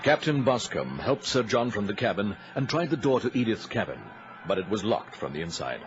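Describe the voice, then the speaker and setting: A man's voice: on the low side, big pitch swings, brisk, background noise. A man narrating a grim seafaring tale as a scripted, dramatic old-time radio storyteller. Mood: ominous. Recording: muffled vintage radio recording.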